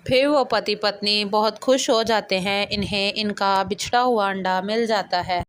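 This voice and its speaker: A woman's voice: medium pitch, steady tone, a little slow, no echo. A woman narrating a children's cartoon moral story from a script.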